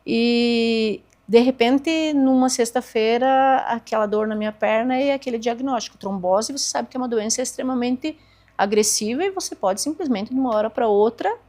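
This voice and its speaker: A woman's voice: a little high, normal speed, a little mellow. A woman in her forties, a podcast guest earnestly telling her own story and acting out past conversations. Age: 40s. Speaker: podcast guest, therapist and speaker.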